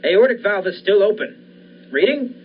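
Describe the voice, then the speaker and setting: Obsessed male scientist, played by a man, 1940s radio thriller. Commanding, urgent, animated delivery; muffled recording. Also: high voice, unhurried. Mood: tense, urgent. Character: obsessed male scientist reviving his dead wife. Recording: muffled, noisy amateur radio play recording.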